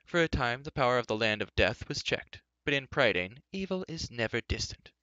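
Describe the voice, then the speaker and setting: A man voicing a very old, solemn and blunt enchanter in a fantasy storybook, speaking in curt, measured phrases. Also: mid-range voice, big pitch swings, bright, forceful tone, no room sound, muffled recording. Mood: grave, urgent. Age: older figure, played. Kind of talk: fantasy audiobook character voice.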